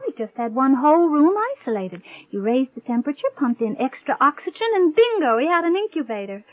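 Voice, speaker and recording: Casting: tender, teasing new mother, American, high-pitched, vintage radio play with thin narrowband sound. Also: sing-song, fairly quick, no echo. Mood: amused, teasing. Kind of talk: 1950s American radio acting. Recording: tinny old radio broadcast recording.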